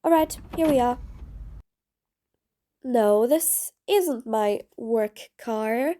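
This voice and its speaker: Female supervillain for audio roleplay, played by a woman: high voice, sarcastic, mean yet patient, grudgingly soft. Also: drawn-out words. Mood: grudging, teasing. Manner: sarcastic, dry, exasperated. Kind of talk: audio roleplay acting addressed to the listener.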